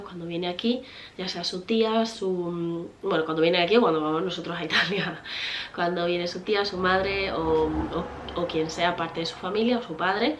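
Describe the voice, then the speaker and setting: A woman's voice: fairly low pitch, lo-fi sound, slight background noise. A woman from Spain in her thirties with a Spanish accent, chatting casually to her viewers and often laughing. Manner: chatty, rambling, many fillers and restarts, laughs often. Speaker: vlogger, mother. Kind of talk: casual chat answering viewer questions.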